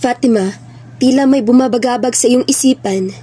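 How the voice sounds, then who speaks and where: A woman's voice: on the high side, normal speed, dark tone, husky, no echo. A woman playing a concerned, composed activist in an amateur radio drama, speaking formally and earnestly. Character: concerned, composed activist comrade introducing a newcomer.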